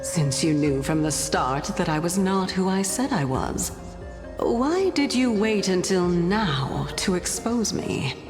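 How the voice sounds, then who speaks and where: An actress playing a mysterious titan in disguise in a video game, gentle, wistful and knowing, with measured, formal, theatrical delivery. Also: female voice, fairly low pitch, expressive. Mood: composed, knowing. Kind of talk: scripted video game voice acting. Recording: long reverb, music behind. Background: game music.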